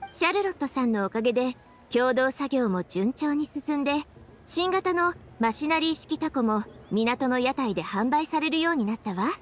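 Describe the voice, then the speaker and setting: A woman's voice: high voice, expressive, normal speed, soft background music. An actress playing a thoughtful young woman official in an anime game, composed and articulate as she explains things. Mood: earnest. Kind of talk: anime video game voice acting.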